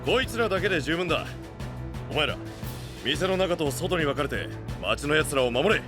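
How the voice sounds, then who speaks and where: A man playing a rough-talking but big-hearted young guardian and gang boss in an anime voice drama, blunt and reassuring. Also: on the high side, animated, moderate pace, music in the background, natural voice. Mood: commanding. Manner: blunt, tough street talk, reassuring.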